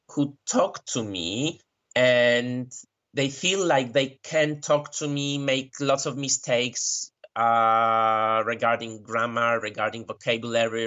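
A Polish man with a Polish accent answers interview questions at an unhurried pace. He thinks aloud, pauses often, and corrects himself mid-sentence. The recording is muffled and lo-fi. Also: medium pitch, a bit bright. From Poland. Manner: thoughtful, frequent pauses and self-corrections. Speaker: interview guest who works with migrants. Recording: dull lo-fi recording.

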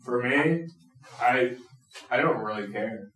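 A man's voice: mid-range voice, takes it slowly, a bit bright. A male student speaking bluntly and matter-of-factly in a classroom discussion. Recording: echoey classroom from far off.

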